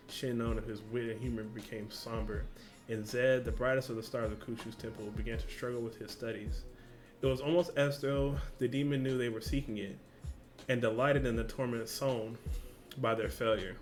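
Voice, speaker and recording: A man's voice: mid-range voice, steady tone, normal speed, soft background music. A man reading a story aloud for a reaction video, often stumbling and correcting himself, with casual slang asides.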